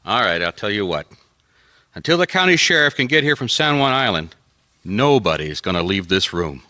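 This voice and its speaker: A man's voice: on the low side, big pitch swings, normal speed, sharp tone. A man acting a wry, shrewd private detective in a noir radio mystery, probing in a dry, colloquial way. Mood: interrogating, firm. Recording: faint noise, live theatre stage.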